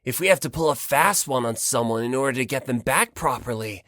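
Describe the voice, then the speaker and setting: A performer voicing a shrewd, smiling teenage boy in an audiobook, calmly arguing and slyly agreeable. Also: medium pitch, a little fast, dry recording, studio quality, natural voice. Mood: slyly reasoning.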